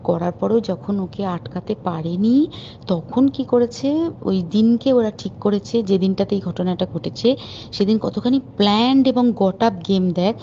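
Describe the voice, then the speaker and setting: A woman's voice: medium pitch, unhurried, mellow, slight background noise. A woman giving an emphatic, indignant, informal account as a caller on a recorded phone call.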